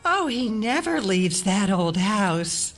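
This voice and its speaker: Older small-town mystic: unhurried, cryptic, quietly unsettling audio drama woman, medium pitch. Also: sing-song, faint music behind, full character voice. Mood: knowing, hospitable. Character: eerily calm gray-haired small-town neighbor woman who reads tea leaves.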